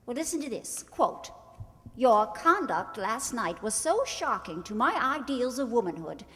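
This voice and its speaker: A woman playing a flighty, high-strung society bride in a screwball radio comedy, theatrical and full of breathless exclamation. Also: big pitch swings, measured pace, fairly bright tone. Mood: defiant. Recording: echoey hall stage recording.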